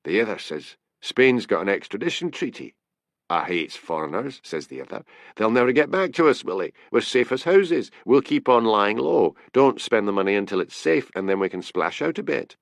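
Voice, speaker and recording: A man's voice: moderate pace, creaky, no room sound. Guy doing a dodgy scottish informant in an audiobook, eager, chummy, slangy, voice goes up n down. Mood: excited, conspiratorial. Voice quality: deep. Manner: excited, matey, colloquial. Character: shifty, eager small-time Scottish male informant.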